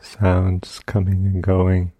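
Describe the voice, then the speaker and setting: A man leading a guided meditation as a teacher, speaking gently in short phrases with long, unhurried pauses. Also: low-pitched, completely flat delivery, no room sound. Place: retreat center meditation session.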